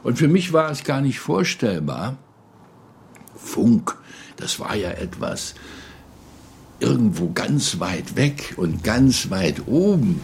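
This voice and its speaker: A man's voice: mid-range voice, sing-song, slow, pauses often, darkish tone. A man sharing a spoken recollection as an interviewee, emphatic and repeating phrases for weight. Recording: clear ambient background noise.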